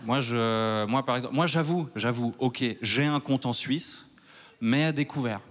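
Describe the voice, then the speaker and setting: A man in his thirties from Switzerland doing a stand-up set on a large theater stage with a handheld mic. He talks in a wry, self-mocking way and works up to loud mock outrage. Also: medium pitch, a little slow. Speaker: stand-up comedian. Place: large theater stage before a live audience.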